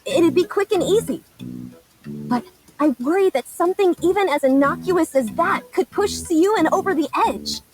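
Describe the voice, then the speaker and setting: A woman's voice: very high voice, animated, normal speed, soft background music, low-quality recording. A woman playing a boastful, childlike hero girl in a video game, with a theatrical, goofy delivery. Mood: earnest.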